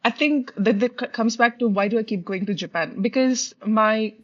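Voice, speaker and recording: A woman's voice: fairly high pitch, moderate pace. Indian-born woman with slightly muffled audio. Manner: musing, laughs often. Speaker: podcast co-host. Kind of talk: casual chat.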